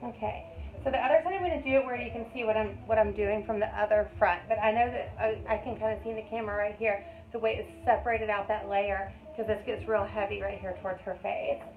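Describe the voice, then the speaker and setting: A woman's voice: mid-range voice, steady tone, fast. A woman in her thirties, a hairstylist, chatting and explaining each step as she cuts hair for a tutorial. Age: 30s. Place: hair salon. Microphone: phone camera. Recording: echoey room, tinny phone-like sound, faint noise.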